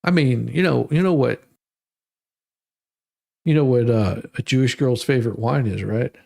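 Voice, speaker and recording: A man's voice: medium pitch, moderate pace, creaky, warm tone. An American man from the Detroit area hosting with rambling, salty, sardonic casual commentary. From Detroit area, United States. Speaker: host of a humorous letter-reading show.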